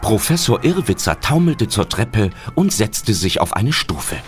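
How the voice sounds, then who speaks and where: A man's voice: on the low side, very animated, a little slow, music in the background. A man narrating a children's audio drama as a scripted storyteller.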